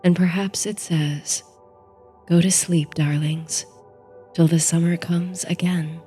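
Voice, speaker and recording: A woman with a warm, slightly low voice narrates a calming bedtime story in the manner of a guided meditation. She speaks very softly and unhurriedly, with breathy phrase endings. Quiet music plays behind her. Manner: slow, soothing, unhurried delivery. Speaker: host and narrator of a sleep story series. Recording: soft music under the voice. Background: soft music.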